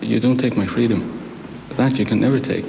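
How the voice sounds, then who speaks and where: A man speaking reflectively and deliberately. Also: medium pitch, steady tone, vocal fry, warm tone, roomy sound, big-room sound, lo-fi sound, slight background noise. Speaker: released hostage.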